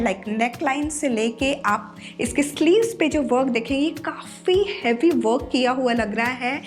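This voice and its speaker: Young woman, high voice, slightly sore throat, chatty fashion vlogger, faint music underneath. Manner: chatty, mixes English into Hindi. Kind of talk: casual haul review to camera.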